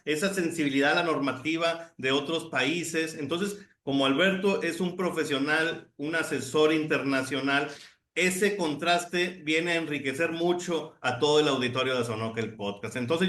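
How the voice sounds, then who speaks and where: A man's voice: on the high side, fairly bright tone, echoey room. A Honduran middle-aged man co-hosting a podcast, talking chattily and enthusiastically with frequent restarts. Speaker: podcast co-host. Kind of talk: casual podcast conversation.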